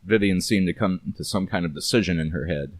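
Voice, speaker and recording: Low-pitched man narrating noir fiction. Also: quick pace, no room sound. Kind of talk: scripted noir story narration.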